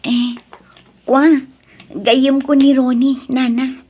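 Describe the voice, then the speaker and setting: A woman's voice: high-pitched, flat delivery, slow pace, darkish tone, slightly rough, heavily acted. A young woman playing a remorseful daughter with a secret, speaking in a hesitant, pleading way in an audio drama. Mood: nervous, evasive.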